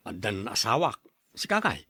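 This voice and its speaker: A man's voice: fairly low pitch, big pitch swings, moderate pace, pushed and bright, breathy edge, no echo, clean studio sound, full character voice. A man playing a henpecked, hard-drinking husband in an audio drama, grumbling and protesting in a defensive manner. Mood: sociable. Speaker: voice actor in a drama. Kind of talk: Ilocano audio drama acting.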